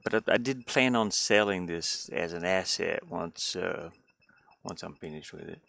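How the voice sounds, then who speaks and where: Low-voiced man with a creaky, rambling delivery. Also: a little slow, fairly bright tone. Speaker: indie game developer livestreaming. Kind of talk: casual livestream chat while demoing a game.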